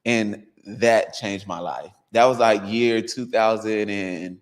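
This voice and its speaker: Lively low-voiced man telling stories. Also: slow, long reverb tail. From United States. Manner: animated storytelling with laughs. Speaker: dance coach, guest. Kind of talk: casual interview.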